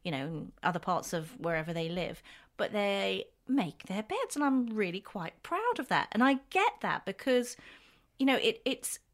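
A woman in her fifties co-hosting a relaxed podcast chat. She spins little domestic anecdotes with easy laughs. Her voice sits at a middle pitch and sounds younger than her years. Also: normal speed. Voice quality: youthful-sounding voice she tries to smooth out. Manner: chatty anecdotal storytelling with frequent laughs. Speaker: podcast co-host. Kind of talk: casual chat.

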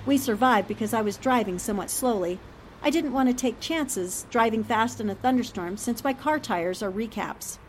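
A woman playing an earnest, analytical newspaperwoman in old-time radio drama style, shaken but determined and deliberate in speech. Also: a little high, expressive, a little hiss or hum, natural voice. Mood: reasoning.

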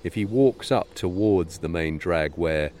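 Deep-voiced wry man co-hosting. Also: measured pace, darkish tone, slight background noise. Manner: wry and teasing, addresses listeners. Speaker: podcast co-host. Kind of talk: casual chat.

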